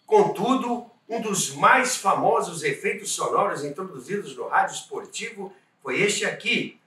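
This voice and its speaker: A man's voice: sits high, animated, measured pace, sharp tone, a little hiss or hum. A man with a Brazilian Portuguese accent narrates a scripted documentary as host, in an emphatic storytelling manner that addresses the listeners. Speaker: podcast host and narrator of radio history. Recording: roomy echo, distant from microphone.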